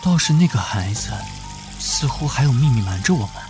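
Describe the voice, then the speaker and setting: An actor playing a kindly, earnest police detective in an animated drama, with a caring, musing manner. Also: male voice, mid-range voice, a little fast, natural voice. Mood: analytical. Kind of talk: animated drama acting with inner monologue. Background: music.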